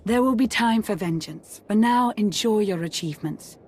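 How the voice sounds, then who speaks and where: A woman's voice: normal speed, close to an everyday voice. A woman playing a composed, resolute military advisor to a commander in a video game cutscene. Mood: rousing. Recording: loud music and engine rumble behind.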